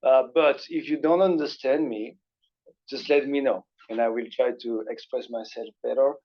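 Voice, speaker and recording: A man's voice: medium pitch, moderate pace. Belgian-French man giving an academic talk. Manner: relaxed conversational lecturing, frequent hesitations. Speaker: guest speaker. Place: university seminar room. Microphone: passed microphone. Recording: dull lo-fi lecture recording.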